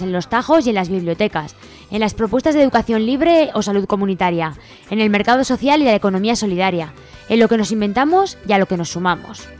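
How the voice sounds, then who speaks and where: A woman's voice: medium pitch, a little mellow. A woman with an accent from Spain reading a scripted, manifesto-like introduction to a radio show. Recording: music behind the voice. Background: music.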